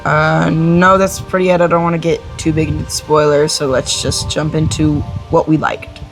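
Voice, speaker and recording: A man's voice: a little high, level tone, normal speed, soft background music. A young male co-host of a game review show, speaking casually with informal, hesitant phrasing.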